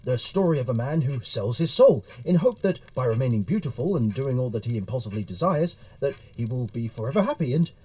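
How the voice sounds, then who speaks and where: An actor playing a courteous, earnest, easily flustered Victorian gentleman writer who conducts interviews in an audio drama. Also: medium pitch, expressive, fairly quick, few pauses, lightly acted, poor audio. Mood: flustered, awkward. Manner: courteous, hesitant, bookish.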